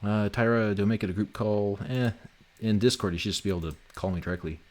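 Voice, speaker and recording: Low-voiced man, casual livestreamer. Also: steady tone, quick pace, a little mellow. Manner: informal, sweary, teasing. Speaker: livestream host and game developer. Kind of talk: casual livestream chat, thinking aloud while working.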